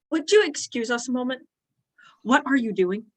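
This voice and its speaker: A woman's voice: sits high, animated, a little slow, no echo. A woman playing an earnest, placating young male poet in a period drama reading.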